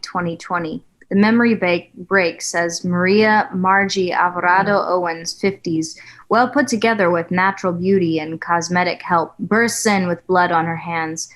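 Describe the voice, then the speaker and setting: A woman reading screenplay stage directions at a table read in an even, measured way. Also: on the low side, rough recording.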